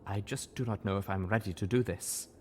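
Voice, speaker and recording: A man's voice: lowish voice, a little fast, a little hiss or hum, close to an everyday voice. A man voicing a wise, motherly adult female zebra who speaks formally without contractions, in an audiobook reading. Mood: earnest. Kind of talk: audiobook character voice.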